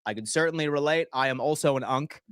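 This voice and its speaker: A man's voice: on the high side, fast, clear, resonant tone, dry recording, studio quality. Slangy guy reading his chat. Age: 30s. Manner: slangy, animated, reads chat aloud and answers. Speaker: sports livestream host. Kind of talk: casual livestream chat reading viewer comments.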